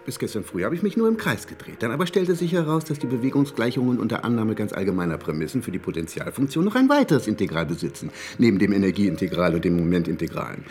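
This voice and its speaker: A man's voice: fairly low pitch, sing-song, fast, few pauses, a little mellow, soft background music. An actor playing a harried, irritable male mathematician in a German radio drama, given to exasperated muttering and lecturing. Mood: irritated lecturing.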